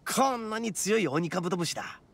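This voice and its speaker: A man playing a brash, big-hearted young oni gang boss in a Japanese anime game, with rough-edged, blunt street-tough slang. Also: sits high, lively intonation, fairly quick, a bit bright, exaggerated voice. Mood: cocky, hearty.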